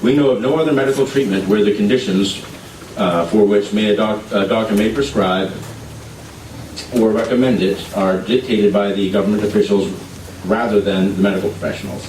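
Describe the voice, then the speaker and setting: A man representing an advocacy group, reading prepared testimony in a measured, formal way at a legislative hearing. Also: fairly low pitch, darkish tone, slight background noise. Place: legislative committee hearing room. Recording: echoey hearing room, distant mic.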